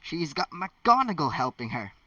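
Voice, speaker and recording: A man's voice: on the high side, big pitch swings, moderate pace, pushed and bright, dry recording, recorded from a distance, heavily acted. Man playing a dejected teenage wizard boy, muffled. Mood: exasperated.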